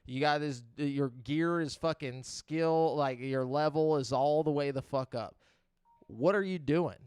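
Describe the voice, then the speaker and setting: A man's voice: fairly high pitch, unhurried, darkish tone, clean tone, dry recording. Male podcast host, clean close dry sound. Blunt, skeptical, casual debate with plenty of swearing.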